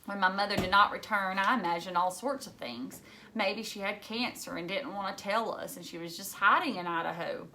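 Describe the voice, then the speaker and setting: A woman narrating a children's novel in an expressive read-aloud style. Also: mid-range voice, fairly quick, a little hiss or hum. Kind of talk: expressive storybook reading.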